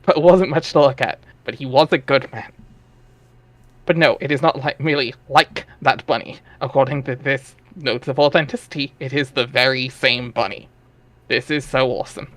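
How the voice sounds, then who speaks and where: A man's voice: mid-range voice, moderate pace, fairly bright tone, dry recording. A man narrating an amateur dramatic reading of a webcomic, wry and theatrical, with casual asides.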